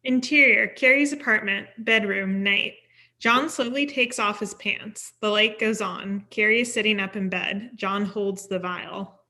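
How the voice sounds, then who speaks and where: A woman narrates a screenplay at a table read. Her voice is bright and forceful at a medium pitch, and she delivers scene headings and actions as scripted narration. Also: a little slow. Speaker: stage directions reader.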